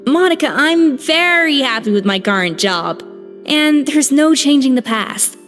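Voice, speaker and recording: A calm former head chef from a video game, dignified and measured. Her voice sits high and moves with lively rises and falls. A long reverb follows it with faint music behind. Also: exaggerated voice. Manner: composed, measured, firm.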